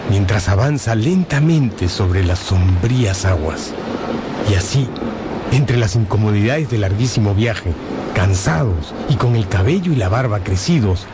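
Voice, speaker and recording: A man's voice: fairly low pitch, expressive, measured pace, noisy background. A man reading scripted dramatic narration for a radio novel. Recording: loud music behind the narration. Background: music.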